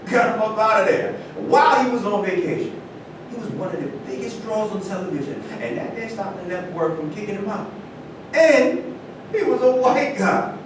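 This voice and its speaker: A man's voice: expressive, normal speed. A man acting in a staged play reading as an aggrieved, cynical famous former athlete, speaking conversationally. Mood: bitter. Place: live staged reading in a roomy indoor space. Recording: echoey room from far off, rumbling noise.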